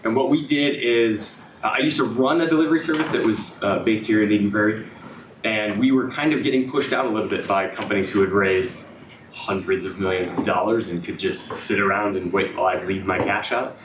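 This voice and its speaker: A slightly hoarse man pitching his startup to an audience. He hesitates a lot, with many fillers and restarts. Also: fairly even intonation, fairly quick, background noise. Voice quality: raspy. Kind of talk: business pitch presentation. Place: event room with audience. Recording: echoey room, speaker far from mic.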